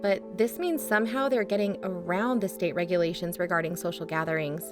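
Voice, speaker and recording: A woman's voice: fairly low pitch, fairly even intonation, a little fast, music in the background, lightly acted. A woman playing a curious, practical investigator in a scripted podcast drama, talking in a matter-of-fact, conversational way.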